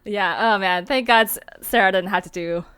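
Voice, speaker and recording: A woman hosting a podcast in a relaxed, casual conversational style. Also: mid-range voice, moderate pace, no echo. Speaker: podcast host and show writer. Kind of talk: casual chat. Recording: clear background noise.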